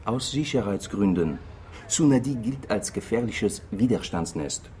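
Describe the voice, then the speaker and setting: A man's voice: on the low side, lively intonation, a little slow, a little mellow, a little hiss or hum. A man playing a wary, matter-of-fact seasoned correspondent in a radio drama. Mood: warning.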